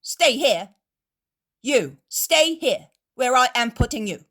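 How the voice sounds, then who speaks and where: A woman reading a story aloud, voicing a robust male innkeeper who shouts and threatens with scorn. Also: a little high, huge pitch swings, drawn-out words, slightly rough, pitched above the performer's own voice, full character voice. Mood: threatening. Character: robust burly male innkeeper, fierce and mocking.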